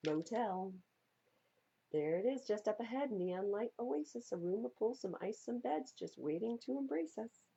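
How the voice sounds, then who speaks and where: A woman reading a picture book aloud at a library storytime in a playful, theatrical way, with a husky voice. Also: fairly high pitch, expressive, normal speed, warm tone, dull audio, slight background noise. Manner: playful, theatrical, stresses and repeats words for young listeners. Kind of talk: expressive read-aloud of children's picture books.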